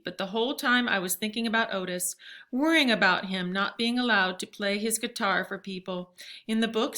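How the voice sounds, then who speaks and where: A woman reading a storybook aloud as a narrator, in an expressive read-aloud style. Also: mid-range voice.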